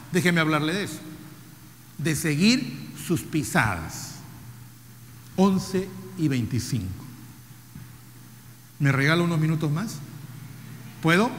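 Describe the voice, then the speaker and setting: A Peruvian pastor in his fifties preaches to his congregation in a high, bright voice that swings widely. He throws rhetorical questions at the room and repeats his key lines. The sound carries a long church-hall reverb with faint room noise behind it. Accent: Peruvian Spanish. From Peru. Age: 50s. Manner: jokes with the audience, drops to soft low tones for emphasis. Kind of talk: sermon.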